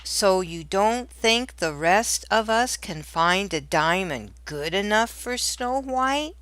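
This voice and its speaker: A grumpy, proud dwarf man from a storybook, acted out by a woman reader. He blusters and boasts at a very slow pace. The sound is close and dry. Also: medium pitch, animated, fairly bright tone, no room sound. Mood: grumbling, defiant.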